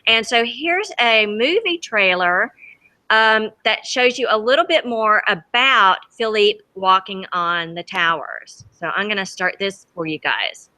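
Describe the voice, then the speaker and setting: A woman reading a storybook aloud to children as a teacher, expressive and dramatic, stopping to ask them questions. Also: mid-range voice, unhurried. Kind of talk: animated storybook read-aloud to a class. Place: school library with children.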